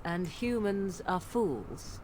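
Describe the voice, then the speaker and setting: A woman's voice: fairly low pitch, lively intonation, slow, background noise. An actress playing a haughty, sly young witch in a fantasy video game, speaking with scornful, condescending mockery. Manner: scornful, bluffing with smooth mockery.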